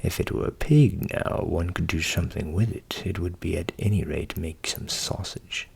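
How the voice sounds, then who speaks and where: A man's voice: low-pitched, normal speed, creaky, darkish tone, slightly rough, pitched below the performer's own voice, full character voice. A man voicing a naive, cheerful, trusting young man in a storybook, with earnest exclamations. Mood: dismayed.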